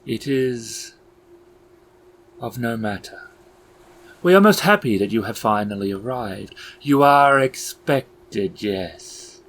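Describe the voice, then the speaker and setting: A man playing an eerie, courteous clown keeper of the dead in a tabletop game, speaking formally and deliberately in archaic phrases. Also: medium pitch, full character voice, low-quality recording. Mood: eerily courteous. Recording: clear steady background ambience noise.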